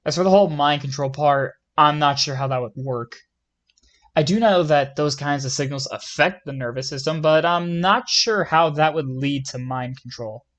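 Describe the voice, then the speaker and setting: A man's voice: fairly high pitch, normal speed, a bit bright, close to an everyday voice. A man playing a police lieutenant giving scripted interview answers in a plain, matter-of-fact way.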